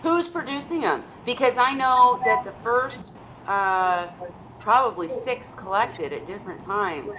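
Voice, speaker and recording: A woman's voice: on the high side, expressive, unhurried. Woman hosting a video call chat, chatty, restarts a lot, bit of background hiss. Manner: chatty, asks questions, repeats herself when starting. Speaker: host of a live video chat. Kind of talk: casual group chat.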